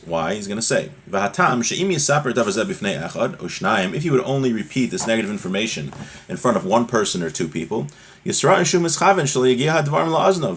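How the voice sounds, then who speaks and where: A man's voice: medium pitch, breathy edge. A man giving a religious lesson, reading from a text and explaining it conversationally with rhetorical questions. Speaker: religious teacher.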